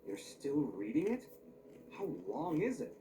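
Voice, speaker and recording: Young male scholar for game dialogue: animated intonation, inquisitive, wistful, faint noise behind. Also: a little high, unhurried, far from the mic, low-quality recording.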